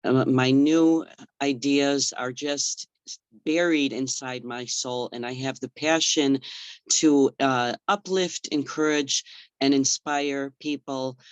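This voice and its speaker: A man's voice: mid-range voice, steady tone, takes it slowly, smooth, no echo. A man born in the Czech Republic, a fitness trainer, passionately telling his story as a guest on a video call, emphatic and full of long lists.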